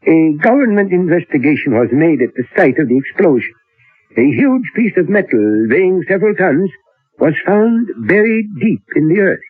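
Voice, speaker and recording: An actor playing an elderly, learned professor in an old-time radio drama, speaking in a deliberate, musing way with theatrical hesitations. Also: male voice, sits high, sing-song, husky, soft background music, full character voice. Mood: grave, confiding. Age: older. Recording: old radio recording, thin narrow band, roomy.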